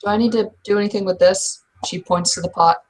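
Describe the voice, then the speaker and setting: A woman's voice: mid-range voice, steady tone, fast, poor audio. A woman casually improvising narration as a player in a tabletop roleplaying session.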